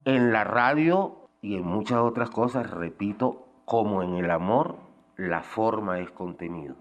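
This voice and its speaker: Low-voiced older man, salty storyteller. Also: expressive, unhurried, highs cut off. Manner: salty, colloquial swearing. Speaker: former guerrilla radio member giving testimony. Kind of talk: casual anecdotal interview.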